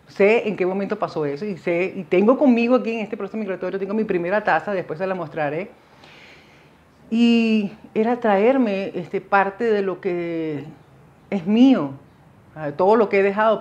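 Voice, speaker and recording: A woman's voice: medium pitch, muffled recording. A middle-aged woman with a Venezuelan accent talking casually and reflectively to viewers, often digressing as she goes. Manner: conversational, digressive, addresses viewers directly. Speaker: video host sharing migration experience. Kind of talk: casual, reflective monologue to camera. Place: small indoor setup with dark backdrop.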